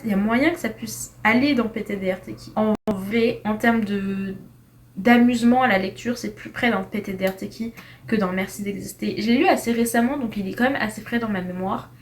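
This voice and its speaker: A woman's voice: on the high side, a little hiss or hum. A young woman giving a casual, chatty review of books, tripping over her words and dropping slang as she ranks them. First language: French. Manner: chatty, animated, with slang and frequent restarts. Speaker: book reviewer. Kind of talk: casual tier-list book review.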